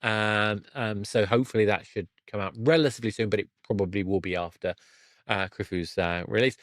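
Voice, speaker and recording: A man hosting a casual podcast interview, speaking in a halting way with broken-off starts. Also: on the low side, fairly bright tone, no room sound, studio quality.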